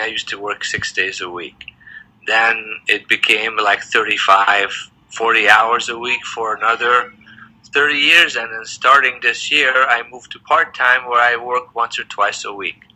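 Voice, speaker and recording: A man's voice: mid-range voice, fairly even intonation, moderate pace, sharp tone, low-quality recording. A man in his sixties who grew up in Hungary, answering interview questions in a thoughtful, hesitant way with many fillers and restarts. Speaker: interviewee, semi-retired dentist.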